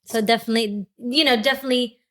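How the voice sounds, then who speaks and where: A woman's voice: fairly high pitch, steady tone, rapid-fire, a bit bright. A pregnant woman from Lithuania, a podcast guest chatting casually, giggling and trailing off into fillers. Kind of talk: casual chat. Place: indoor podcast set with plants.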